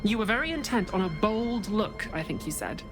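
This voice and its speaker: A woman's voice: mid-range voice, sing-song, normal speed, lightly acted. A woman playing an amiable, slightly fussy attendant in video game dialogue. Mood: friendly. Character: fussy, amiable attendant chatting about a new look. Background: game music.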